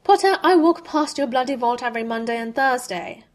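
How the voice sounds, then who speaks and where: A woman's voice: a little high, normal speed, natural voice. A woman reading aloud as a proud young male wizard, with a scornful, clipped and cutting manner.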